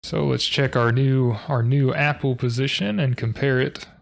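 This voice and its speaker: A man hosting a coding tutorial, talking through his code in a low-key, monotone way, thinking aloud with fillers. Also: moderate pace, gravelly. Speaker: programming tutorial host. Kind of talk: casual screen-recorded coding walkthrough.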